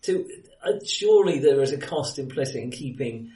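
A man's voice: medium pitch, lively intonation, normal speed, warm tone, far from the mic. Man in a roomy space, off-mic. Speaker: podcast co-host. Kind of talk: casual film discussion.

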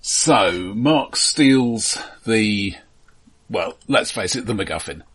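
A man with a low, slightly husky voice talks through a film as a podcast co-host. His phrasing is halting and conversational, with dry asides along the way. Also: expressive, fairly bright tone. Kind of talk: casual film discussion.

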